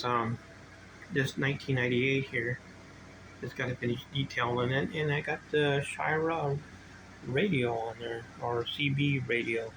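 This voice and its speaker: A middle-aged or older man giving a casual, unscripted video walkthrough as a hobby collector, rambling and halting as he restarts his sentences. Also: mid-range voice. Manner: rambling, halting, self-interrupting. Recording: clear steady background noise, ambient hum.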